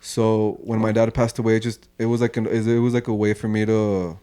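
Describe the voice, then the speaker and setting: Young man, from Mexico, casual podcast guest, lowish voice, mellow tone. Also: flat delivery, brisk, smooth. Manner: laid-back, lots of "like" and "man", mixes in Spanish. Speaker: podcast guest, social media creator. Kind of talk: casual chat.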